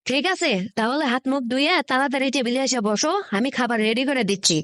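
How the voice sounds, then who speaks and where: A woman's voice: high-pitched, fast talker, fairly bright tone, dry recording, studio quality. A woman playing a nagging, sceptical village housewife in a comic cartoon, questioning and exclaiming, with a northern Bangladeshi Bengali accent. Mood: obliging.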